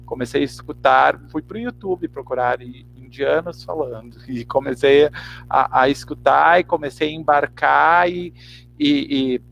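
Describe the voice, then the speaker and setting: Brazilian male interviewee, gravelly mid-range voice, reflective and hesitant, some noise and hum behind. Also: medium pitch, low-quality recording. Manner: halting, searching for words, repeats words. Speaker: actor and teacher interviewed.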